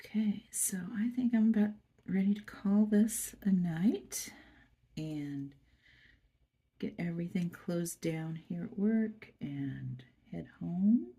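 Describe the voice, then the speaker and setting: Brief: stuffy-nosed woman craft host, gravelly low edge, chatting softly on a phone camera at work. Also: animated, takes it slowly. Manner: soft, chatty, murmuring to viewers. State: stuffy nose. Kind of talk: casual chat while crafting. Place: at her workplace.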